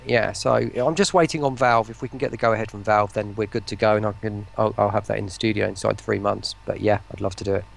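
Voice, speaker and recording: A man's voice: on the low side, fairly even intonation, fast, gravelly, few pauses. A man talking casually and enthusiastically in an interview, rambling and often breaking into his own sentences. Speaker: voice pack producer, stream guest.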